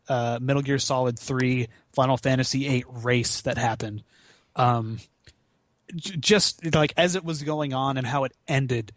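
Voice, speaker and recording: A man talks casually as a guest on a podcast. His voice sits at a medium pitch and is recorded very dry. He is wry and self-deprecating, often hesitating as he goes. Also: dry recording. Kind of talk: casual chat, comic read-aloud of fan fiction.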